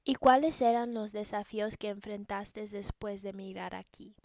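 A young woman asks her prepared questions earnestly and carefully, in a mellow, soft-toned voice. The recording is close and dry. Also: medium pitch, fairly even intonation, moderate pace, no echo. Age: young adult. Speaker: interviewer, daughter, student. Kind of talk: reading prepared interview questions.

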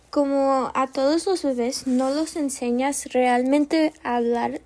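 Slow, soft-spoken young American woman. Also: high voice. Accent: American English. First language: English. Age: young adult. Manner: slow, careful delivery with small self-corrections. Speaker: student podcast host. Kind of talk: class podcast monologue.

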